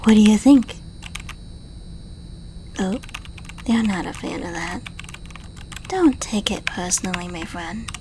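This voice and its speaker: A woman's voice: mid-range voice, darkish tone, slight background noise, natural voice, poor audio. A woman playing a suave, flirtatious secret agent in an ASMR roleplay, speaking to the listener in a playful, polite and coolly menacing way. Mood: playful, amused. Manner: playful, polite, coaxing, unhurried.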